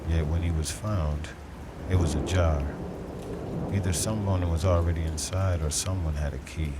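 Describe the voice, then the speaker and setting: A man playing a hard-boiled noir detective in an audio drama, narrating his case in a brooding, terse, deliberate way. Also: deep voice, fairly even intonation, very noisy, natural voice. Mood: brooding, suspicious. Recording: loud music bed behind the voice.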